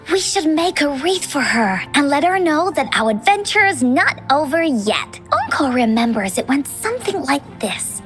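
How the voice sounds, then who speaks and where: A high, bouncy little girl from an anime-style game, full of earnest imagination. Her delivery is childlike and excitable, swinging widely in pitch, with music playing behind her. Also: moderate pace. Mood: eager.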